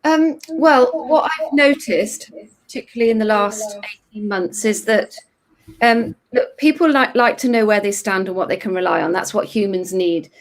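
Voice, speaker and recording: A middle-aged woman with a slightly croaky voice answers as an interview guest. She explains her points with examples, pausing and restarting as she thinks, at a middle pitch. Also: animated, rough recording. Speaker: guest therapist.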